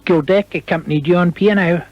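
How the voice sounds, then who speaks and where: A man's voice: high-pitched, brisk, over loud music. A man interviewing a guest with prepared questions about the past. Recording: dull archival radio recording.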